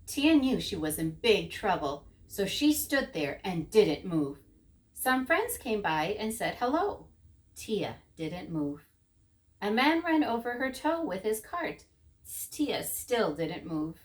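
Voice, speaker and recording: A woman's voice: medium pitch, sing-song, slow pace. A woman narrating a children's story expressively, with rhythmic, dramatic emphasis.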